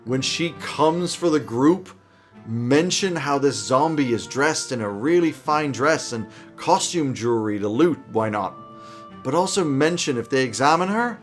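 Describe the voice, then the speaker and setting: A man in his thirties giving a conversational explainer to camera as a host, with an animated, casual, dryly humorous manner. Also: medium pitch. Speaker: tabletop roleplaying game advice host. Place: small home office, indoors.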